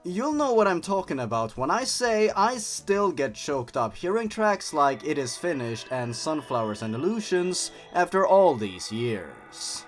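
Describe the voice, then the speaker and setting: A man with a slightly high voice that swings widely in pitch delivers scripted commentary and story readings. He is a non-native English speaker, expressive and given to sardonic asides. Music plays behind him. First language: not English. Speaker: host reading and reviewing horror stories. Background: background music.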